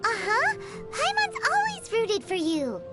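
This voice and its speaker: A woman voicing a tiny, childlike fairy companion in a video game, squeaky, cheeky, excitable and easily offended. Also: a little slow, heavily acted. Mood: cheerful, proud. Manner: refers to self in third person, exclaiming, bubbly. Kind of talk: English video game voice acting. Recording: music behind the voice. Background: music.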